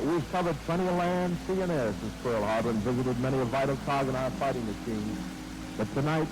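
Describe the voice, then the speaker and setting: A man hosting a wartime radio show, delivering an opening monologue with upbeat showman patter. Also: on the high side. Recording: old radio broadcast with hiss.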